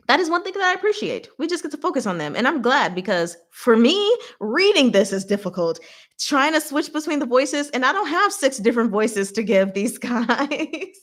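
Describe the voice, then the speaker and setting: A woman hosting a live recap in a casual, chatty, expressive style, talking to her audience like a fellow fan. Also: fairly high pitch. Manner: chatty, expressive, giggly, slangy. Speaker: host of a live book recap and discussion.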